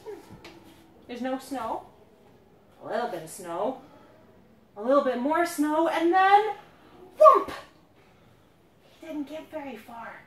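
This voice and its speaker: A woman with a high voice reads a children's story aloud in an animated, swooping way. She is playful and dramatic, stretching words and putting questions to a young listener. The recording is roomy and distant, with some faint noise around her. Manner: dramatic build-ups with drawn-out words. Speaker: children's author reading her own picture book.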